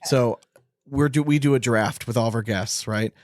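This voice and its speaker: A man hosts a podcast in a casual, bantering way. His mid-pitched voice is recorded dry, with very little room sound. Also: normal speed, no echo. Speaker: podcast host.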